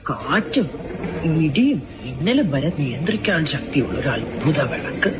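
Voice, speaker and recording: A woman's voice: deep voice, sing-song, moderate pace. A woman playing a solemn storyteller who tells of a legendary hero in a grand, dramatic manner. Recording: loud music under the voice.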